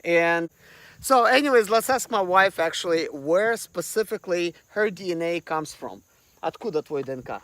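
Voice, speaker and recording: A man's voice: sits high, lively intonation, normal speed, fairly bright tone, no echo. A man from Russia, hosting a casual vlog and rambling with lots of fillers. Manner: rambling, full of fillers like "like" and "you know". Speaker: vlog host. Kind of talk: casual chat to camera.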